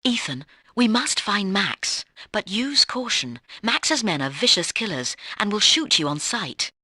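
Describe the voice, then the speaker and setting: Emphatic female spy teammate, lively pitch. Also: a little slow, slightly rough, no room sound, studio quality, exaggerated voice. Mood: urgent, cautioning. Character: brisk, cautioning female spy teammate briefing the agent. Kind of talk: video game voice acting.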